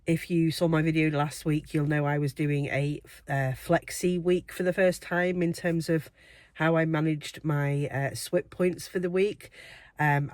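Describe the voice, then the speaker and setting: Low-voiced woman vlogging. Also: a little mellow, no room sound, studio quality. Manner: chatty and reflective, with frequent ums and light laughs. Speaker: weight-loss vlogger. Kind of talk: casual talking to camera.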